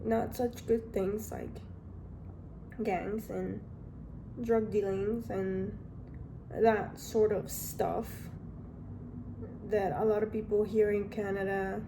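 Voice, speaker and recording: A young woman from Honduras frankly telling her life story in a halting, candid way. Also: medium pitch, gravelly, background noise. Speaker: vlogger. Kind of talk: personal storytelling.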